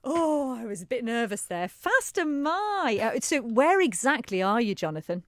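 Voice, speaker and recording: A woman's voice: on the high side, very animated, normal speed, a bit bright, no room sound. A woman presenting a live radio show in a studio, interviewing guests with bubbly enthusiasm and a Manx accent.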